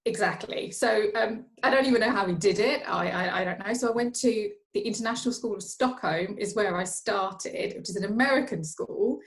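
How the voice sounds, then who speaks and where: A chatty woman from Norway talks as a guest, her pitch sitting right in the middle. She speaks casually, doubles back over her own words and restarts sentences as she goes. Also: normal speed, vocal fry, few pauses. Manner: chatty, self-interrupting with repeated words. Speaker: guest, finance professional. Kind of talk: casual interview conversation.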